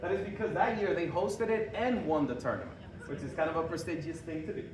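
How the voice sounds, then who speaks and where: A young man from Honduras giving a lively, joking talk and quizzing a live audience. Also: fairly high pitch, fast. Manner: joking, quizzing the audience, chuckling at own jokes. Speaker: presenter. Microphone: room mic from a distance. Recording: echoey hall recorded from far off, background noise.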